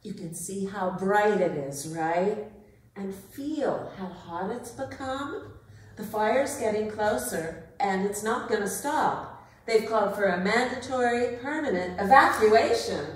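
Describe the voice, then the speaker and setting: A woman playing a gentle, patient cat owner in a staged dramatic reading, speaking reassuringly to her pet. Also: medium pitch, takes it slowly, close to an everyday voice. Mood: urgent, patient. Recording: echoing hall, distant mic.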